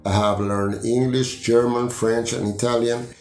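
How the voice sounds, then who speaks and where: An older man in his sixties with an Argentine Spanish accent reads his personal story at a measured, deliberate pace. His voice carries a roomy echo, and soft music plays faintly underneath. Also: medium pitch, level tone. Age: 60s. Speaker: language student. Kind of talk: read personal testimony.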